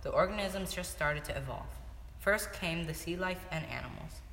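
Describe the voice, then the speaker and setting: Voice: mid-range voice, animated, moderate pace, gravelly, fairly bright tone. A young teenager reading a scripted story aloud as a student narrator. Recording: echoey hall, noisy background.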